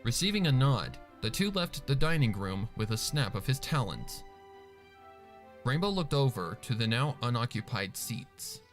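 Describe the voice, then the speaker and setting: Male story narrator, animated pitch range, scripted read over faint music. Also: moderate pace. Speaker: fanfiction narrator.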